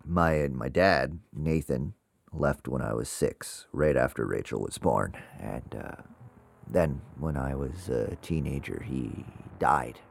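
An actor playing a defensive, awkward man in his thirties obsessed with ghosts, speaking haltingly in a naturalistic audio drama style. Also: extremely low pitch, lively intonation, gravelly, dry recording, exaggerated voice. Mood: awkward, confessional. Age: 30s. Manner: hesitant, halting, self-correcting.

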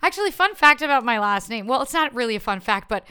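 A woman's voice: on the high side, sing-song, fast talker, a crowd in the background. A woman from Canada whose first language is French, chatting as a podcast guest, bubbly and laughing often. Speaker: podcast guest, stage performer. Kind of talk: casual chat.

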